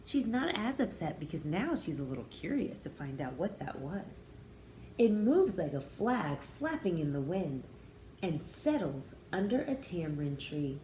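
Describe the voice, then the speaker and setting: Lively, mellow woman reading to young children. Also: mid-range voice. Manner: expressive, explanatory, addressing young children. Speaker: pre-kindergarten teacher reading aloud. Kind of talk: children's picture-book read-aloud with explanations. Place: small room indoors. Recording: roomy indoor echo, faint background noise.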